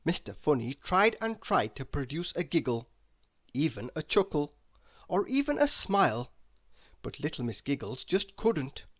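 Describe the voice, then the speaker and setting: Slow, very animated woman storyteller. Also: low voice. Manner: expressive, deliberate, dramatic emphasis. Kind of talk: children's read-aloud narration.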